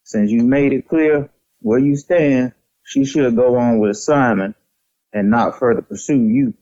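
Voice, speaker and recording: A caring father, played by a man in an audio drama, counsels his grown son. His voice is mellow and mid-pitched, with measured delivery. The sound is dry and slightly muffled. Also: no echo, lightly acted. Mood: advising.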